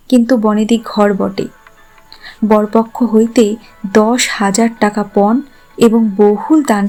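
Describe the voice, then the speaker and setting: A woman's voice: fairly high pitch, darkish tone. A woman reading a scripted literary story as its narrator. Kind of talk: scripted literary narration in formal Bengali prose.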